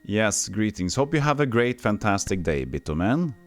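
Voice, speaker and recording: Low-voiced man streaming a retro game. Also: very animated, moderate pace, soft background music. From Texas. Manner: chatty, reads game text aloud, answers chat. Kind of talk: casual gameplay commentary.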